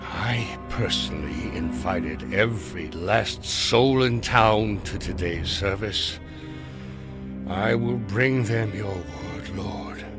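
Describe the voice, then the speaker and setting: An actor playing a stern, righteous old gunslinger turned preacher in a video game, speaking in solemn, declamatory biblical phrases. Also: male voice, sits low, slow pace, loud music behind the voice. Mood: solemn, troubled prayer. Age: older.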